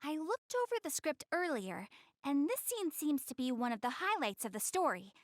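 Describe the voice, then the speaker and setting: A high, very animated young goddess girl from an anime video game, recorded dry and close. She is proud and slightly haughty, yet shy and earnest, easily flustered and hesitant when she opens up. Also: moderate pace, dry recording. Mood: nervous, insistent. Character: shy, prideful young goddess girl, tsundere but caring. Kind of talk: English-dubbed anime video game acting.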